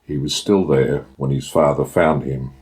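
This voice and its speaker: An Australian man narrates a short story in an exceptionally deep voice. He reads from a script as the author of the tale. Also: fairly even intonation, normal speed, a little mellow.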